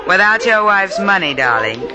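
An actress playing a cynical, seductive, greedy lover with mocking endearments, in 1940s radio style. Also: female voice, medium pitch, sing-song, moderate pace, lightly acted. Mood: coolly seductive.

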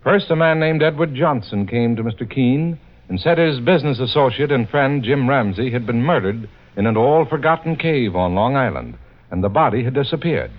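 A man's voice: mid-range voice, lively intonation, normal speed. A man giving scripted narration as a mid-century radio announcer, formal and dramatic. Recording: muffled old radio recording.

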